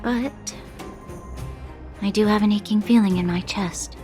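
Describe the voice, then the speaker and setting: A slow, measured young woman of medium pitch acted by a woman in a video game, earnest and resolute, with faint music underneath. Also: steady tone, warm tone, natural voice. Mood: solemn, resolved. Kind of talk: video game cutscene acting.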